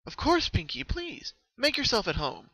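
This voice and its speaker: A man's voice: extremely high pitch, wildly swooping pitch, moderate pace, gravelly, sharp tone, no echo, voice pushed up, exaggerated voice. A man voicing a kind, concerned young female cartoon unicorn, polite and attentive, in a storybook reading. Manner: polite, solicitous.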